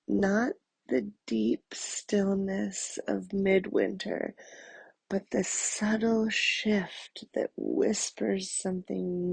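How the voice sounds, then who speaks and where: Woman doing guided meditation, super slow and soothing, really creaky soft husky voice. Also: medium pitch, dry recording. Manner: slow, soothing, with long pauses.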